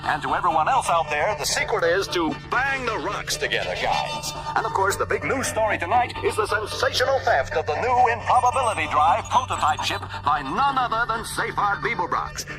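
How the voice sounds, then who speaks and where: An actor playing a showy, excitable galactic radio newsreader with hip, slick patter, in a radio comedy. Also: male voice, high voice, a little fast, hardly any pauses, over music, rough recording. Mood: hyped. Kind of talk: parody radio broadcast.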